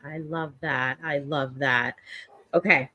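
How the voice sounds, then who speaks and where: A woman's voice: low voice, fairly even intonation, slow, dry recording. A woman podcast host who interviews her guest casually, often affirming her answers and repeating that she loves what she hears. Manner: warm, affirming, repeats "I love that".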